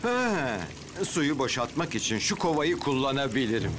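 A man's voice: mid-range voice, very animated, moderate pace, lightly acted. A man voicing a hapless, flustered village handyman dad in a children's cartoon dub. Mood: dismayed. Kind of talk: children's animated series dub. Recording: loud music underneath.

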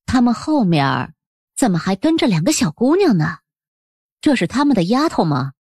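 A woman playing a haughty middle-aged official's wife in an audiobook, husky and condescending. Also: on the high side, very animated, normal speed, darkish tone, dry recording, studio quality, heavily acted. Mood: prying. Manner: condescending, pointed.

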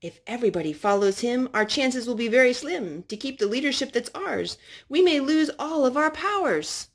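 A woman's voice: sits high, lively intonation, normal speed, voice pushed up. A woman voicing worried, scheming men from a Bible storybook, with emphatic delivery. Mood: alarmed scheming.

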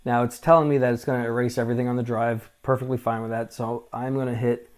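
A man's voice: mid-range voice, fast talker. A man in his forties hosting a vintage computer channel, talking casually through a hands-on restore at a desk in a small room, wearing a lapel mic, with lots of "uh" fillers. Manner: relaxed, chatty, frequent "uh" fillers. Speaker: vintage tech channel host. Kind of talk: casual hands-on tech walkthrough.

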